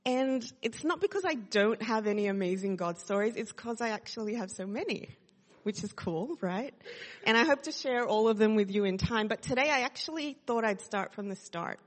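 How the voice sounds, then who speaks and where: A woman's voice: fairly high pitch, expressive, moderate pace. A woman in her thirties from Sydney with an Australian accent, sharing her testimony in a chatty way with many fillers. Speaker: church speaker sharing testimony. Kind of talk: casual testimony talk to a congregation. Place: church hall. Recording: long hall reverb.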